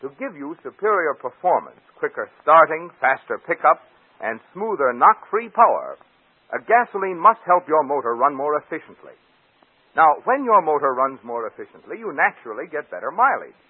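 A man's voice: fairly high pitch, very animated, normal speed, husky, no echo. A man working as a radio announcer, with a polished, emphatic 1940s commercial delivery. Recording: narrow old radio broadcast recording.

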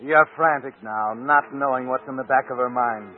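A man's voice: mid-range voice, moderate pace, lightly acted. A man narrating an old-time radio suspense drama as a knowing, insistent, foreboding voice, on a tinny old recording. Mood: ominous. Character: knowing, ominous male narrator addressing the hero.

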